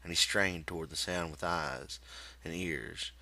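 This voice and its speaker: A man reading literary fiction aloud as an audiobook narrator, with measured, deliberate phrasing. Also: low-pitched, vocal fry, sharp tone, no room sound. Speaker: audiobook reader.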